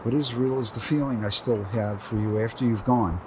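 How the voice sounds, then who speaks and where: An actor playing a lonely, grieving widower who muses wearily to himself in an audio drama. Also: male voice, medium pitch, normal speed, noisy background, lightly acted. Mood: wistful, weary. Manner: talks to himself, reflective.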